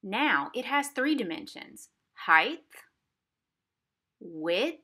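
Bright-voiced woman teaching a craft. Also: medium pitch, sing-song, very slow, husky. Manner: simple, explanatory, addressing young viewers. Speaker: art instructor for a museum family program. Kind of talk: instructional craft demonstration for children. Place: indoors at a craft table.

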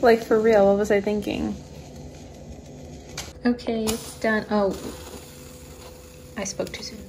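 A young woman chats casually into a phone propped on her kitchen counter late at night, giggling and often sinking to a hushed, near-whispered voice. Her tone is warm and mellow rather than bright. A faint hum of noise sits behind her. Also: mid-range voice, flat delivery, slow. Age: young adult. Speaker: vlogger. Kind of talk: casual cooking vlog chat. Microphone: phone camera on the counter.

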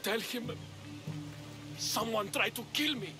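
A fearful, weary older farmer who was once a priest, talking in a notably high male voice. He sounds guarded, as a game character would, with music behind him. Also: takes it slowly. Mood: agitated, suspicious. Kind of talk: video game voice acting. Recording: music under the voice. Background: background music.

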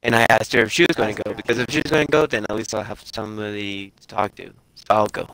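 A young man telling a casual story in a halting, rambling way full of self-corrections, as a game streamer chatting. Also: on the low side, fairly even intonation, fairly bright tone, low-quality recording. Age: young adult. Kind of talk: casual storytelling over gameplay.

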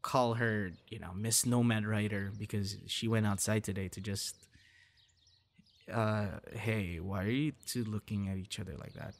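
A man's voice: lowish voice, fairly even intonation, normal speed, close to an everyday voice. Man acting a friendly, coaxing young guy. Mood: cheerful, surprised. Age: young adult. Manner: earnest, coaxing, sighs and breathy reactions. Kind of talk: ASMR audio roleplay, one-sided dialogue to listener.

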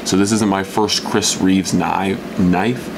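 Low-voiced young man reviewing gear. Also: fairly even intonation, takes it slowly, vocal fry, background noise. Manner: rambling, many fillers and restarts. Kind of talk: casual gear review talk. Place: indoors at a workbench.

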